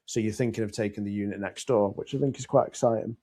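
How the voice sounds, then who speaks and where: British man, low voice, business podcast. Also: fairly even intonation, fast, mellow. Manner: plain-spoken, swears, lays out scenarios at length. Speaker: podcast co-host. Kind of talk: casual business chat. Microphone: podcast mic.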